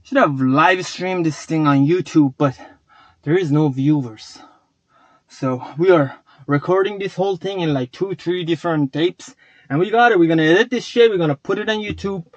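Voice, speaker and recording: High-voiced rambling man, non-native English. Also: moderate pace, no room sound. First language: not English. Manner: rambling, profane, philosophizing, talks to chat. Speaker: vlogger. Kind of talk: rambling casual vlog talk. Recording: dull lo-fi sound.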